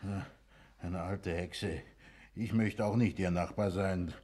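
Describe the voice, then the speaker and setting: Lowish husky male voice, officious underling, impatient, curt, deferential, radio drama. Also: fairly even intonation, slow pace, darkish tone. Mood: dry, scornful. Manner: curt, prompting, deferential to superior. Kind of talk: German radio drama acting.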